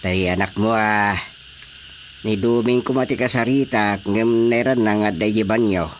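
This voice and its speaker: A man's voice: fairly low pitch, a little slow, slight background noise, natural voice. An actor with an Ilocano accent playing an older husband and father in a radio drama. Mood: conversational.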